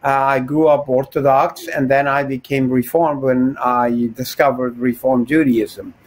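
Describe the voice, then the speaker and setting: An older man from Turkey, a rabbi, giving measured, scholarly explanations as an interview guest. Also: mid-range voice, steady tone.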